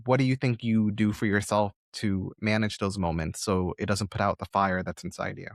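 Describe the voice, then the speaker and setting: Deep-voiced male podcast host, encouraging. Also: fairly even intonation, moderate pace, creaky, no room sound, clean studio sound. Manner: encouraging, affirming, long reflective questions. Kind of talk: conversational interview.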